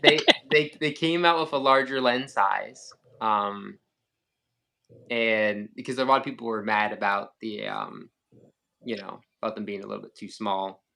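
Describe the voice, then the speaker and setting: A man's voice: lowish voice, steady tone, unhurried, gravelly, sharp tone. A man reviewing sunglasses in a casual chat, talking in a hesitant way with lots of fillers and restarts.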